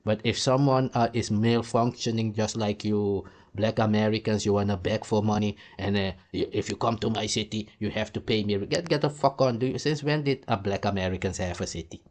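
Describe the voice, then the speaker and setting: A man's voice: lowish voice, fairly even intonation, a little fast, hardly any pauses, darkish tone. A man from Suriname, hosting a heated, blunt and profane reaction commentary. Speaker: commentary host.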